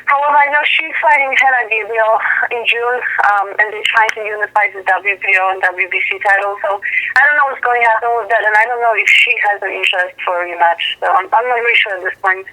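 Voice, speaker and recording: A woman in her thirties, originally from Poland, answering interview questions as a boxer, thoughtful and full of "um" pauses. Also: mid-range voice, pushed and bright, rough recording. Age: 30s. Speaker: professional boxer and guest.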